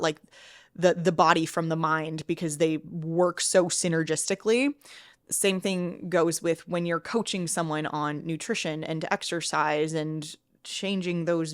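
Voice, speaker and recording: Chatty woman podcaster with a cold. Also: low-pitched. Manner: chatty, many "like"s and restarts. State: sniffly from a cold. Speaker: podcast host and fitness coach. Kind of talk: solo podcast talk.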